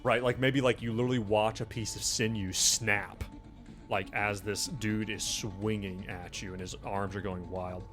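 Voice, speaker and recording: A man's voice: on the low side, steady tone, moderate pace, fairly bright tone, soft background music. A man working as game master, casually narrating a tabletop horror game and calling for rolls.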